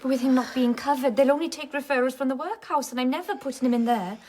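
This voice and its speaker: An actress playing a motherly village midwife in a radio drama, speaking in a hushed, confiding manner. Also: sits high, fast, slight background noise, natural voice. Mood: concerned, protective. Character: motherly village midwife and foster carer, discreet, indignant.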